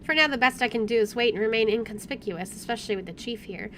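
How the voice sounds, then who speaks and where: A woman's voice: on the high side, fast talker, a bit bright, slight background noise. A woman reading visual novel narration aloud with wry, dramatic expression over game music. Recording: music playing behind the voice.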